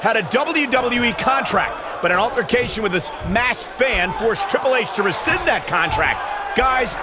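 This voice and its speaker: An actor playing a dramatic, excitable wrestling commentator calling the show in a video game. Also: male voice, very high-pitched, normal speed, very noisy. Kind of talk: sports commentary. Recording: very loud crowd and music.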